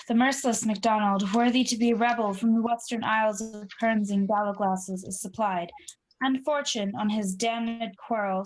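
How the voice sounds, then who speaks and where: High-voiced wounded sergeant played by a woman. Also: slight background noise, close to an everyday voice, poor audio. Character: wounded, valiant army sergeant reporting from battle. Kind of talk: 1940s radio play acting of Shakespeare.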